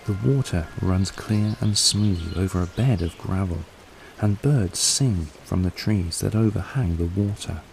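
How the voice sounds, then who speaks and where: Deep, mellow man narrating meditation. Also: creaky, slight background noise, poor audio. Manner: calm, unhurried, soothing. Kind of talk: slow scripted guided meditation narration. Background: soft background music.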